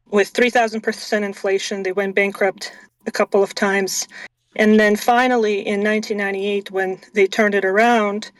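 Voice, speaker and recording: A woman in her thirties, born in Russia, telling her story as a guest on an interview stream in a measured way with frequent ums. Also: mid-range voice, dry recording, recorded from a distance, low-quality recording. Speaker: guest, venture investor. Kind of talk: casual interview chat.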